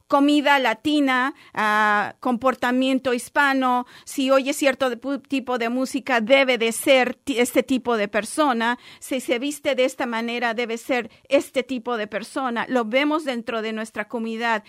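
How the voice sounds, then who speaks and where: A woman lawyer, a native Spanish speaker, explaining a legal point in a radio discussion, measured with frequent fillers and restarts. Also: on the high side, steady tone, a bit bright, no echo, studio quality. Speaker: lawyer guest.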